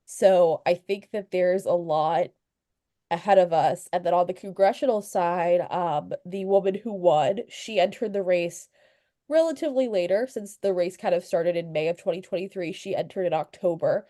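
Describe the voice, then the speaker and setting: A woman's voice: fairly low pitch, moderate pace, mellow, no echo, clean studio sound. A young woman from Virginia, a local news reporter, talking casually over a video call while sniffly with a cold, with lots of fillers and small laughs. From Virginia, USA. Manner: chatty, fillers and restarts, light laughs. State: sniffly, under the weather. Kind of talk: informal talk at a club meeting.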